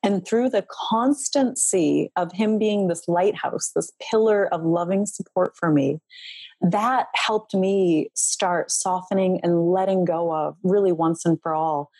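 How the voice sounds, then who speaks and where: A woman's voice: lowish voice, unhurried, dry recording. A middle-aged woman speaking earnestly and reflectively as a guest on a podcast, sharing her healing as a relationship coach. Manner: earnest, reflective, soft-spoken. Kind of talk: heartfelt podcast interview.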